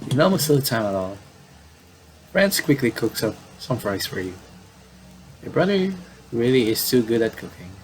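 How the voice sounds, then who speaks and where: A man doing casual game commentary, reading on-screen dialogue aloud and adding halting asides. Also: medium pitch, sing-song, rough recording. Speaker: gamer doing playthrough commentary.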